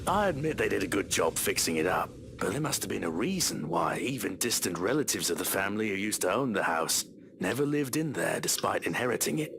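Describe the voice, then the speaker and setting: A man's voice: deep voice, very animated, a little fast, vocal fry, background noise, exaggerated voice. A man playing a grave, superstitious taxi driver in a visual novel, talking in a folksy, cautionary way. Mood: ominous warning.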